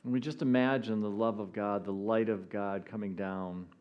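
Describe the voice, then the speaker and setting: A man leading a prayerful guided meditation in soothing phrases with long pauses between them. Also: fairly low pitch, fairly even intonation. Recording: dull lo-fi audio under music. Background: meditation music.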